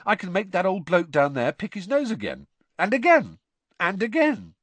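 A man's voice: high-pitched, wildly swooping pitch, moderate pace, dry recording, voice pushed up, full character voice. A man voicing a cheeky, casual teenage boy wizard in a storybook. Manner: casual, muttering.

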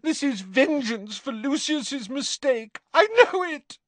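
A man voicing a desperate, tearful aristocratic mother in an audiobook, pleading in a high, tearful voice. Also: expressive, slow pace, vocal fry, no echo, heavily acted. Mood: weeping. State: crying. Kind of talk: audiobook character voice.

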